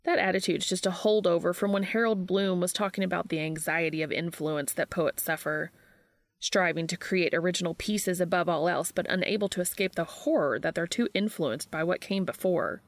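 A woman's voice: fairly low pitch, normal speed, no room sound, lightly acted. A woman voicing a confident, easygoing male college student who talks casually and dismissively about books. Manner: casual, assured, profane.

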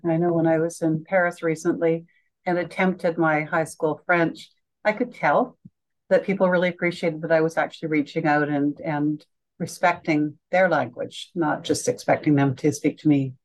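A woman's voice: low voice, fairly even intonation, moderate pace, rough recording. A woman hosting a conversational interview in a reflective, affirming way. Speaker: interview host.

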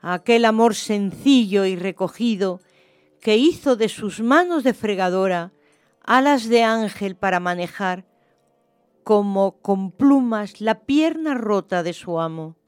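A woman's voice: medium pitch, lively intonation, a little slow, clean tone, no echo, faint music behind. A woman narrating a literary story for radio theatre in a scripted reading style.

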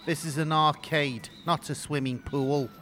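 Man playing a surly, higher-pitched arcade boss. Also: steady tone, a little slow, pushed and bright. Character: surly, officious arcade manager turning kids away. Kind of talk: amateur audio drama acting.

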